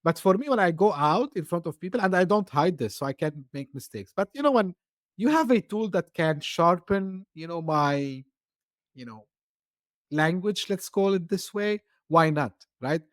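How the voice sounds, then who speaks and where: A male podcast host who is not a native English speaker chats loosely and keeps dropping in "you know," his voice sitting a bit high. The sound is close and dry, with no room around it. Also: lively intonation, moderate pace, no room sound. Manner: talkative, loose phrasing full of "you know". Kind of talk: casual interview chat.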